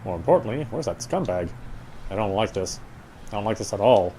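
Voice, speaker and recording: A man's voice: on the low side, animated, fairly quick, mellow, a little hiss or hum. A man reading a horror game's story aloud as dramatic narration, sometimes stumbling and rereading phrases. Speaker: game player reading story text aloud. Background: game music.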